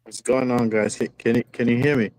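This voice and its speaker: A man's voice: medium pitch, little pitch movement, fairly quick, darkish tone, low-quality recording. A man calling in to a football fan stream, speaking casually but with passion and repeating phrases for emphasis. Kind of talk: impassioned fan rant, casual. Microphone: phone, video call.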